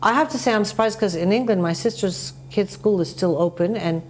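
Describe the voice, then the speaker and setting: A woman hosting a conversational TV interview, telling anecdotes in an animated, emphatic way. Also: mid-range voice, brisk, a little hiss or hum, poor audio. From North America. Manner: animated, emphatic storytelling with acted-out quotes. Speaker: talk show host.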